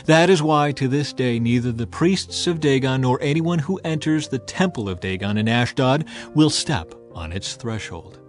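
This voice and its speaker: A man's voice: medium pitch, expressive, moderate pace, soft background music. A man narrating a dramatized scripture audiobook in a solemn, dramatic storytelling manner.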